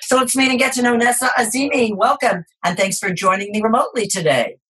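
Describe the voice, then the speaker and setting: A woman hosting an interview show, reading a measured, formal introduction and then asking questions over a remote connection. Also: fairly high pitch, a bit bright, slightly rough. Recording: remote call audio.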